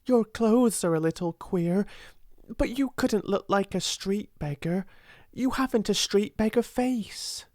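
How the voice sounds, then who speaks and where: A woman voicing a timid, slow, kind-hearted young girl who speaks in a halting, awe-struck way. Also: medium pitch, a little mellow, no room sound, studio quality, voice pushed up, natural voice. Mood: earnest.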